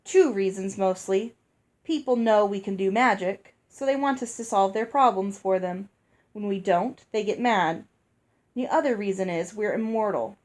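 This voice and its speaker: A woman reading aloud and voicing a gruff older fairy woman who talks bluntly and scolds. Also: medium pitch, moderate pace, a little mellow, lightly acted. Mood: brusque. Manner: brusque, scolding. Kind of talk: read-aloud storybook dialogue.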